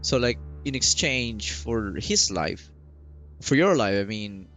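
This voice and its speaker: A man giving casual, halting reaction commentary as he plays a game, musing aloud. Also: on the low side, expressive, a bit bright. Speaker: gaming video host. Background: faint game music.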